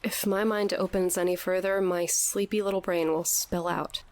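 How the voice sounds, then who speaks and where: A woman's voice: fairly low pitch, fairly even intonation, normal speed, darkish tone, natural voice. An actress playing a sceptical, wisecracking young woman in a scripted audio drama, with an incredulous, slangy, joking way of talking. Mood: wry, joking.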